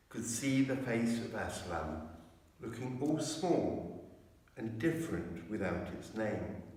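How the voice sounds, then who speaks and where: In a large, very reverberant church, a man narrates a storybook from some distance off the microphone. He reads slowly and dramatically, with deliberate phrasing at a medium pitch. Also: darkish tone. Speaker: clergyman reading a children's story aloud. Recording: very echoey church, far from mic.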